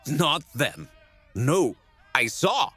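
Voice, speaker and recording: A man's voice: fairly high pitch, expressive, extremely slow, bright, forceful tone, slightly rough, no room sound, exaggerated voice. A man acting a half-mad, weeping condemned townsman in an audio drama, sobbing and pleading in broken phrases. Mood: sobbing, desperate.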